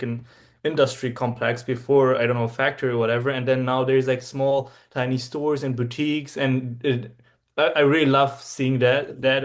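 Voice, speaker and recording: Young man from Berlin, chatty guest. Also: medium pitch. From Germany, Berlin. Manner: enthusiastic, many restarts and fillers. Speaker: interview guest, traveler. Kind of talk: casual interview answers.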